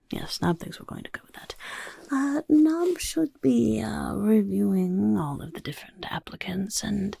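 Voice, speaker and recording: Casting: a self-important, scheming goblin interviewer for ASMR roleplay; gravelly fry, husky half-whisper, slow muttered delivery. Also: female voice, lowish voice, dry recording, clean studio sound, exaggerated voice. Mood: businesslike, dismissive. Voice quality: raspy, creaky, half-whispered. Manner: speaks of self in third person, muttering, chaotic. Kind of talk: soft-spoken ASMR roleplay.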